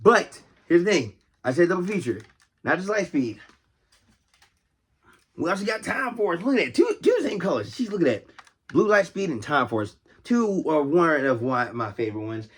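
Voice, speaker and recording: A man's voice: on the high side, big pitch swings, moderate pace, slightly rough. A man hosting a casual collector's video, talking off the cuff in a rambling, enthusiastic way and exclaiming over details. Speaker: host of a toy collecting video series. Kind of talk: casual off-the-cuff toy show-and-tell.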